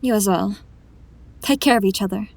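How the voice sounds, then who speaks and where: A woman's voice: medium pitch, normal speed, gravelly, a little mellow. A woman playing a weary, resolute, grieving heroine in a fantasy audio drama, solemn and restrained. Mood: calm, firm. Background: music.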